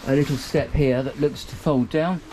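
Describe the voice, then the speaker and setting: A man's voice: mid-range voice, steady tone, moderate pace, background noise. A man, giving an upbeat walkthrough tour of a small motor boat cabin, filmed on a handheld camera. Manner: chatty, upbeat, pointing out features as he moves. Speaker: boat reviewer.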